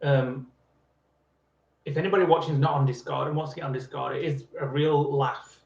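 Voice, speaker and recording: A man's voice: fairly high pitch, fairly even intonation, a little fast, vocal fry. A man in his forties co-hosting a live stream, playfully insisting on his point in casual banter. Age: 40s. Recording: echoey, slightly dull live stream sound.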